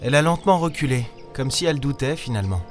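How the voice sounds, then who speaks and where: A man's voice: medium pitch, lively intonation, fast, natural voice. A man playing a wary, sarcastic starship captain in a sci-fi audio drama, speaking in a blunt, colloquial way. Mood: grave recollection. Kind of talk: French sci-fi audio drama, acting and first-person narration. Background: music.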